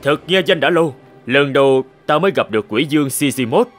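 Young male hero god, male actor, animated fairy tale: earnest, brave, medium pitch, expressive. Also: fairly quick, soft background music, close to an everyday voice. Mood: placating. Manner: earnest, resolute, respectful. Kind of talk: cartoon hero acting.

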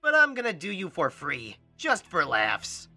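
Comic book assassin villain: highish, wildly animated, mocking, wisecracking, menacing, music underneath. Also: male voice, a little slow, heavily acted. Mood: cocky, menacing. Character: gleeful taunting male assassin villain, cocky and murderous. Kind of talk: comic book dub voice acting. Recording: music behind.

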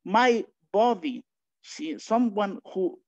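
A man from Mexico with a Mexican accent, giving a philosophy lecture online, thinking aloud with many restarts and lively asides. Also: high voice, slow pace. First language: Spanish. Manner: thinking aloud, frequent restarts and filler sounds, animated asides. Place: home room, indoors. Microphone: video call webcam. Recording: dull lo-fi video call audio.

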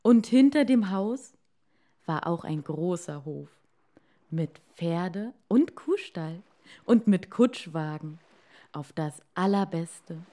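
A woman tells a fairy tale in a soft, mellow voice at an unhurried pace. Her reading is expressive and deliberate, shaped for children listening to a story. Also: lowish voice. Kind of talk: scripted fairy-tale narration.

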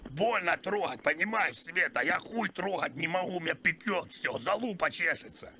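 A man's voice: sits high, expressive, normal speed, gravelly, bright, forceful tone, slight background noise, full character voice. A man voicing a crude, whiny old pensioner on a prank call, pestering and rambling in a strained, plaintive voice. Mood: insistent. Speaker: prank caller. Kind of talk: prank phone call improvisation.

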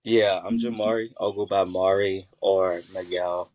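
Low-voiced hesitant young man. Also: steady tone, measured pace, slight background noise. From Virginia. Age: 20s. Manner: soft-spoken, hesitant, many fillers. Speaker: panel guest. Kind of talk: casual personal storytelling.

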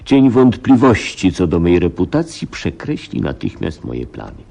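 Króla w słuchowisku, bardzo niski, ciemny głos, dumny, zatroskany, mówi dostojnie. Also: male voice, sits low, wildly swooping pitch, creaky, warm tone, exaggerated voice. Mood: grave, worried. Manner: formal, measured, stately. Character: anxious, proud middle-aged European king fearing scandal. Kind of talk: radio play acting.

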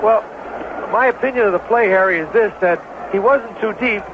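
A man's voice: sits high, moderate pace. Bantering male commentator on noisy old radio. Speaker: baseball color commentator. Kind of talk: conversational radio sports analysis. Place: ballpark broadcast booth. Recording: very noisy, phone-like 1970s radio broadcast recording.

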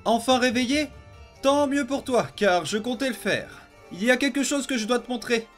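A man's voice: very high voice, lively intonation, moderate pace, fairly bright tone, faint music behind. A man voicing a menacing, manipulative young boy out for revenge in a French comic dub, coaxing and commanding. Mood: impatient, eager.

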